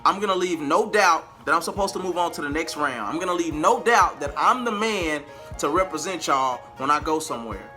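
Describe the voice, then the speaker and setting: A man's voice: fairly high pitch, animated, a little fast, sharp tone, faint music behind. A man telling a personal story to his viewers in a casual, emphatic way, repeating phrases for emphasis. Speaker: vlogger and R&B singer.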